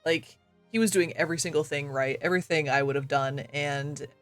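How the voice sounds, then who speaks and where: A man streamer, slightly raspy from coughing, chatting casually and self-deprecatingly with lots of fillers and quick laughs while he plays. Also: fairly high pitch, steady tone, fairly bright tone, faint music behind. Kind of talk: casual live-stream chat while gaming.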